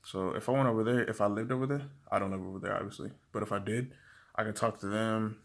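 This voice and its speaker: A young man giving casual language-learning tips to camera, talking in a relaxed, rambling way with many fillers and restarts. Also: on the low side, quick pace, creaky. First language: English.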